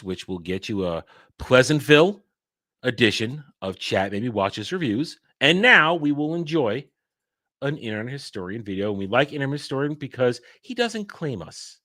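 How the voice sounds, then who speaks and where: A man hosting a casual livestream, rambling and excitable, restarting his sentences often. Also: mid-range voice, normal speed, no room sound, clean studio sound. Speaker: livestream host.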